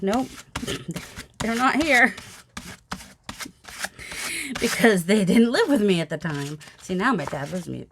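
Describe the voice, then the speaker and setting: A woman's voice: mid-range voice, background noise. A woman in her forties with a youthful, childlike voice, chatting casually with rambling, self-teasing asides while she paints at a home craft table. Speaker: mixed media artist. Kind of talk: casual crafting vlog narration. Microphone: overhead camera, hands only.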